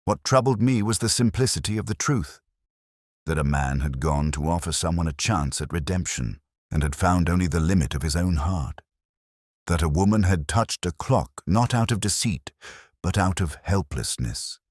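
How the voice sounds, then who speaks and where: A man playing a melancholy older detective inspector, narrating case notes in a measured, reflective, literary way. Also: low voice, expressive, slightly rough, no room sound, clean studio sound. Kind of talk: scripted first-person literary narration.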